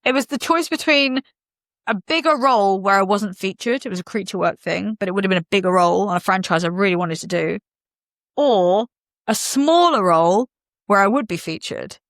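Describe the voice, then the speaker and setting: A woman, a podcast guest chatting casually in an animated, joking way. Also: mid-range voice, no echo, studio quality. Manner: animated, jokey, quick asides. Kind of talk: casual chat.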